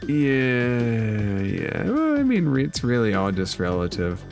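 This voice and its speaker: Male game master, slow-paced relaxed narrator, wry asides. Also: mid-range voice, vocal fry, faint music behind. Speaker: dungeon master.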